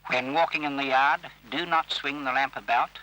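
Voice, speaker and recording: Bright, forceful male training film narrator. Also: mid-range voice, fairly even intonation. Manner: formal, measured, instructive. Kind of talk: scripted instructional film narration. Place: voice-over recorded for film. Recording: old scratchy railway training film soundtrack.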